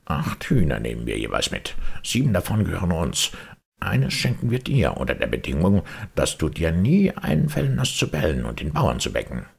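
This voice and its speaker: A man's voice: low voice, huge pitch swings, normal speed, gravelly, breathy edge, voice pushed up, exaggerated voice. A man voicing a thieving marten in a storybook reading, sly, chummy and wheedling. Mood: sly, persuasive.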